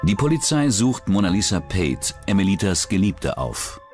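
Guy narrating a true crime doc, deep voice that moves around a lot. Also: big pitch swings, normal speed, over music. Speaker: documentary narrator.

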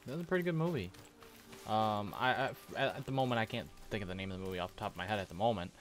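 A young man giving a casual, halting, slangy reaction commentary as a gaming YouTuber at a desk indoors. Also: on the low side. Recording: clear background noise of game ambience.

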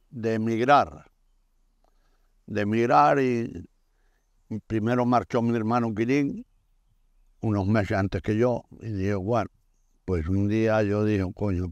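A man in his eighties a low, clear voice recalls his past for an oral history. He tells it plainly and colloquially, close and dry on the mic. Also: darkish tone, no echo. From rural Asturias, Spain. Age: 80s. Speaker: emigrant interviewee.

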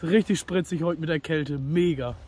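A man's voice: a little high, moderate pace, warm tone, dry recording. A man hosting a fishing vlog, chatting casually and colloquially to his viewers as friends.

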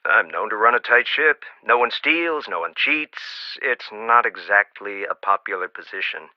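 A stern, proud male archaeologist stuck in a hospital bed in a video game. His voice sits at a middle pitch, slightly muffled and dry, with a firm, matter-of-fact delivery. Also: expressive, measured pace, no room sound. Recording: slightly dull, dry studio sound.

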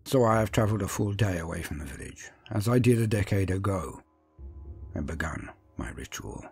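A man playing a brooding, defiant village sorcerer, telling a dark fantasy tale in a hushed, deliberate way. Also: low-pitched, big pitch swings, slightly rough, soft background music, exaggerated voice. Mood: brooding resolve. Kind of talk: dark fantasy first-person storytelling.

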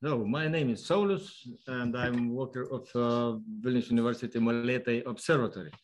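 A Lithuanian man presenting his observatory's work on a video call, picking his way through a foreign language at an ordinary pitch. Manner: halting, non-fluent English. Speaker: observatory worker giving a lecture. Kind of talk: explanatory online lecture. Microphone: online video call.

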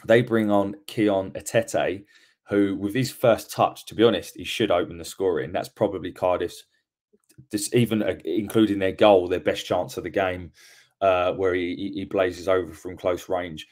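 A man's voice: deep voice, steady tone, normal speed, a little mellow. A man, hosting a solo post-match football reaction podcast from home on a webcam, giving frank opinions and often repeating words as he corrects himself. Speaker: podcast host.

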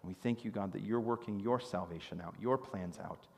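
A man in his thirties preaching a sermon from a church stage, telling stories with animated gestures. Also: on the low side, brisk, breathy edge. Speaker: pastor. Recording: long reverb of a church hall.

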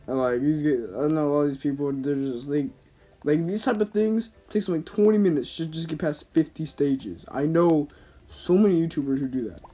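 A man's voice: a little high, normal speed, warm tone, breathy edge. A man giving casual, rambling gameplay commentary in slangy, informal speech, as a gaming YouTuber. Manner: slangy, informal, talking to himself and viewers.

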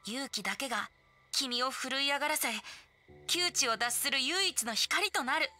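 A woman's voice: high voice, moderate pace, bright, forceful tone, soft background music. A woman voicing a youthful, playful boy bard with a poetic, persuasive way of talking in an anime game. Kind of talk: Japanese anime game voice acting.

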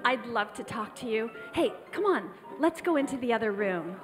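A woman's voice: on the high side, animated, normal speed, close to an everyday voice. A woman playing an eager, sweet young woman in old-time radio drama style, with a perky manner. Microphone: stand microphone on stage. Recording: live theater stage, long reverb, music behind.

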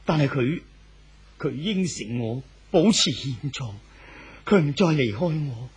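A husky, anxious older husband. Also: male voice, mid-range voice, lively intonation, measured pace, highs cut off. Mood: stubborn, defensive. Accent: Hong Kong Cantonese. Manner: pleading, insistent. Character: anxious older husband clinging desperately to his unfaithful wife. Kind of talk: 1970s Hong Kong radio drama acting.

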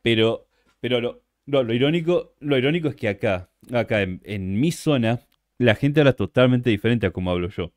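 A man's voice: medium pitch, expressive, normal speed, a little mellow, no room sound, clean studio sound. A young Argentine man who is a guest on a livestream interview, chatting casually and animatedly.

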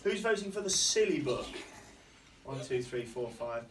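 A middle-aged man reads a silly picture book aloud to young children, his voice bouncing up and down in a lively, sing-song way. He slows down for the rhyming lines and stops to quiz the class. He is heard from across a classroom on a distant camera, with plenty of background noise. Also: mid-range voice. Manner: playful, asks the children questions and slows down on the rhymes. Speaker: headmaster. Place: primary school classroom, indoors. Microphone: camera across the room.

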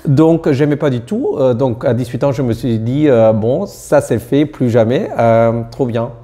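A man in his thirties with a German accent speaks in a mellow, darker tone at an ordinary pitch. He searches for words, filling gaps with "euh" and restarting his sentences. The setting is a relaxed seated interview in a small room. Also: a little slow. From Austria. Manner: hesitant, many fillers and restarts, searching for words. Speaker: doctor, interview guest. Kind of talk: casual interview chat in a learned language. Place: small indoor studio room.

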